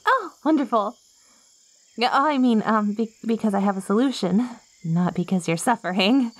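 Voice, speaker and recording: Woman acting as unhurried, eager ancient plant girl. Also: on the high side, no room sound. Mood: excited, eager. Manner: quirky, wordy, theatrical, slightly formal phrasing. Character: ancient, lonely, eager plant girl monster, clingy and curious. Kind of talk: ASMR roleplay, acted monologue to the listener.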